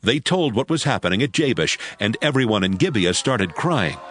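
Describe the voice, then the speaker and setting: A man's voice: mid-range voice, big pitch swings, moderate pace, fairly bright tone. A man narrating an audio Bible drama as a scripted, dramatic storyteller.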